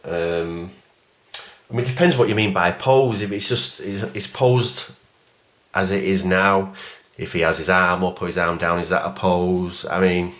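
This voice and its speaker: A man giving a casual, rambling video review of a collectible figure in a plain, even voice, stopping often for ums and uhs. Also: deep voice, a little slow. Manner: rambling and hesitant, many fillers.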